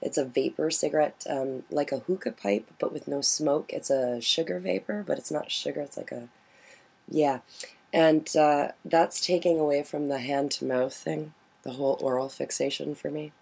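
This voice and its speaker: Gravelly-voiced woman hosting a radio chat. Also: steady tone, normal speed, darkish tone. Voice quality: deep smoky voice. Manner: bantering, laughing easily. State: deep raspy voice after quitting smoking. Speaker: radio show host. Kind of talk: casual live radio chat.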